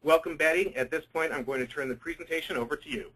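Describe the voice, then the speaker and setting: Bright, husky man reading a formal webinar intro. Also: on the high side, quick pace, poor audio. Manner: formal, read from script. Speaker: webinar moderator. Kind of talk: scripted introduction.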